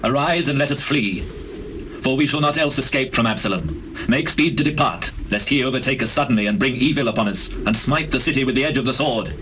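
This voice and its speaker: A man's voice: medium pitch, lively intonation, fairly bright tone, natural voice. An actor playing a grave, dignified old king in a dramatized scripture, speaking solemnly and formally with a British accent. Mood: urgent. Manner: solemn, measured formal speech. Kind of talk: dramatized scripture, classical stage acting. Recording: noisy old cassette tape, birdsong behind.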